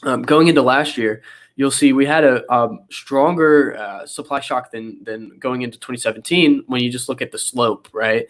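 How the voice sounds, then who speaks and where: A man's voice: mid-range voice, moderate pace. A young man with a cold and a nasal voice, explaining charts in a casual interview over a video call, full of fillers and restarts. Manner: rambling with many fillers and restarts. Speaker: guest analyst.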